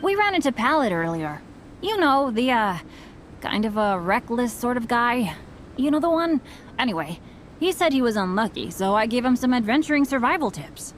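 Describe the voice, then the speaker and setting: A woman's voice: fairly high pitch, big pitch swings, normal speed, a little hiss or hum. A woman voicing a scatterbrained, unlucky young adventurer in a video game, rambling and self-deprecating. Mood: breezy. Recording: game audio with music.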